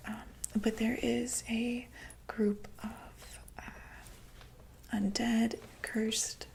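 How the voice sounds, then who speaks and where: A woman whispering breathily in an ASMR medical roleplay, explaining things as a calm doctor in a gentle, hesitant, clinical way. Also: fairly high pitch, rough recording. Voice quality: breathy, mostly unvoiced whisper. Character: calm, attentive doctor to monsters.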